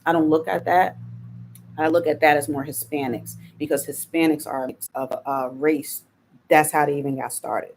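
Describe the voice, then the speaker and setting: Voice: medium pitch, fairly even intonation, moderate pace, slight background noise. Hesitant live-stream host thinking aloud. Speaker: channel host. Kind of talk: live reaction commentary.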